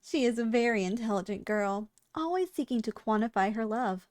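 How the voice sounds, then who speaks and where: A woman's voice: a little high, expressive, fairly quick, darkish tone, no echo, close to an everyday voice. A woman playing a polite, businesslike shop clerk in a scripted ASMR roleplay, with formal sales patter and dry understatement. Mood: brisk, professional. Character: polite, businesslike female shop clerk selling dangerous yandere girls.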